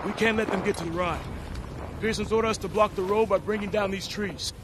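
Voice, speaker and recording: A man acting as a dutiful soldier in a World War II game. His voice sits high and stays firm and businesslike, with outdoor vehicle noise clearly behind it. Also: fairly even intonation, brisk, natural voice. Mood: focused.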